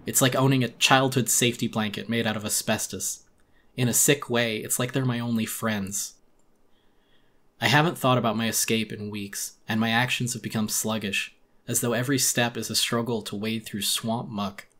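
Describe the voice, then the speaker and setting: A man's voice: medium pitch, steady tone, normal speed, fairly bright tone. Brooding male audiobook narrator. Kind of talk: dramatic audiobook narration, first-person horror story.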